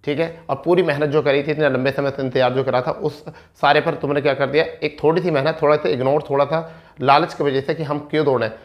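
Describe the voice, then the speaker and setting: A man's voice: fairly high pitch, steady tone, fast talker, highs cut off. Man teaching, repetitive explainer. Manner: repetitive, explanatory, with frequent check-in tags. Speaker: exam coaching teacher. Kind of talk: informal instructional explanation at a board. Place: indoor studio in front of a digital board. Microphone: clip-on lapel mic.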